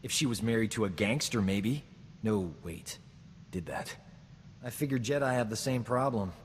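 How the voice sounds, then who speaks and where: An actor playing a boastful, smooth-talking combat medic in a video game, joking and flattering himself. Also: male voice, on the low side, moderate pace, long reverb tail, a little hiss or hum, close to an everyday voice. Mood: cocky. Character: cocky boastful man, a frontier combat medic. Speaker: companion character in a video game. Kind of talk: video game character dialogue.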